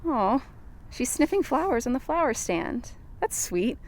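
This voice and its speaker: A woman's voice: sits high, sing-song, a little slow, low-quality recording. A woman acting as an anxious, skeptical young investigator in an audio drama, with a nervous, exclamatory manner. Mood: startled, narrating.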